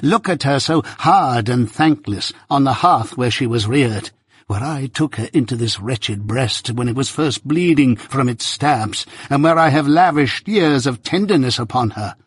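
A man voicing a bitter, possessive old adoptive mother in a novel reading, with impassioned, drawn-out, wailing cries. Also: medium pitch, lively intonation, fairly bright tone, slightly rough, dry recording, studio quality, heavily acted. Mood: bitter, anguished.

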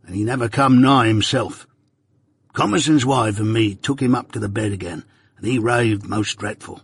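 A man voicing a rough older male ex-convict recounting his past in rustic dialect, confiding and plain. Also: lowish voice, normal speed, no room sound.

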